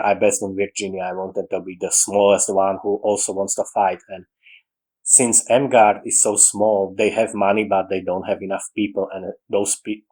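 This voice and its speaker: Slovak man, low voice, rambling. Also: darkish tone, breathy edge, rough recording. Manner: rambling, with frequent restarts and dropped articles. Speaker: writing podcaster. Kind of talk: casual monologue on story writing.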